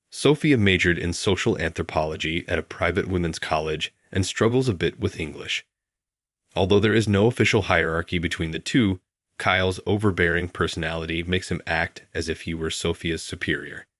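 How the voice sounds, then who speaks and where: A man in his thirties narrating a first-person story in an even, steady way. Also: low-pitched, no room sound, clean studio sound. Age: 30s.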